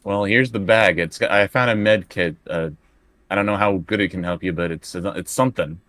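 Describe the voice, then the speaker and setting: A man with a low, forceful voice plays a tabletop role-playing game. He talks casually and haltingly, repeating himself as he puts his moves to the game master, in a close, dry sound. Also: no room sound. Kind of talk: casual improvised game play.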